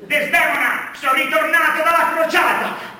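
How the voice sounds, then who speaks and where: A sharp, extremely high-pitched crusader husband declaims with self-important melodrama. It is absurdist comic theatre, heard from a distance in a very echoey space with faint noise. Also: male voice, normal speed, heavily acted. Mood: pompous. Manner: declamatory, pompous, shouting. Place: stage, picked up from a distance.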